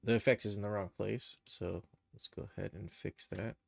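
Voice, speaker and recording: A man hosting a casual, unscripted software tutorial, thinking aloud as he explains each step. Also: lowish voice, steady tone, normal speed, gravelly. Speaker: live stream tutorial host, game and cartoon developer.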